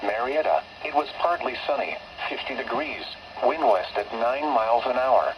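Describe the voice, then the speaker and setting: Male text-to-speech voice, automated weather broadcast, evenly spaced phrases, muffled radio receiver, clear static hiss. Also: lively intonation, moderate pace. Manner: synthetic, evenly spaced delivery with odd pitch jumps on numbers.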